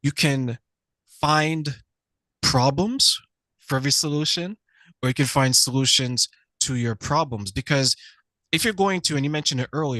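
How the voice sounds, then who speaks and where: Guy hosting a talk show, mid pitch, chatty long questions, dead dry mic. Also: a bit bright, dry recording. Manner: conversational, long run-on questions. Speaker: podcast host. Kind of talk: interview questions and commentary.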